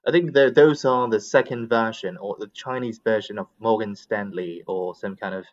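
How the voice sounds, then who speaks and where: A man with a slightly low voice, heard through somewhat dull call audio. He gives his opinions in casual conversation, wordy and feeling his way toward the right words. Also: normal speed. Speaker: English conversation practice participant. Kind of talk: casual chat, explaining opinions.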